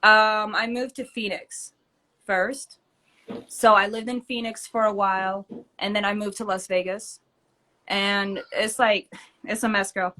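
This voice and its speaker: A young woman chats easily in an interview, her voice sitting at a middle pitch. She leans on fillers and laughs often as she talks, relaxed and open. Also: measured pace. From born in Germany to Turkish parents, raised in the US. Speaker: rapper and entrepreneur, guest. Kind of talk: casual interview chat.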